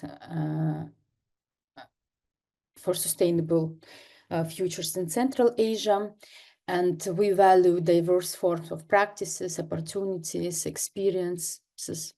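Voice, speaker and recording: A young adult woman from northern Kazakhstan, a native Kazakh speaker, giving a seminar lecture over a video call, halting with many fillers and restarts. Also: on the low side, dark tone. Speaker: guest lecturer, artist. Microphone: computer mic on video call.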